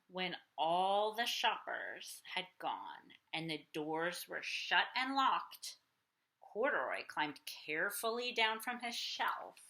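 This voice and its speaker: Bright-voiced woman reading to children, unhurried. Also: lowish voice. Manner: theatrical, pausing to explain the pictures simply. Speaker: teacher. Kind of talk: expressive children's picture book read-aloud.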